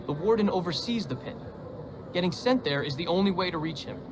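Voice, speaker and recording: Casting: scheming male jailbird forger, higher-pitched voice, wry and conspiratorial, game drama, noisy ambience behind. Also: lively intonation, a little fast. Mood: urging. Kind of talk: dramatic game cutscene acting. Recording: clear background noise and ambience.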